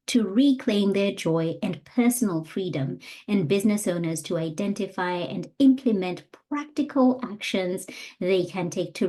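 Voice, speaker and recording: A woman in her thirties hosts a podcast with a mellow, darker tone. She introduces her guest from a script, then asks questions in a measured, encouraging way. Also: on the low side. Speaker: podcast host.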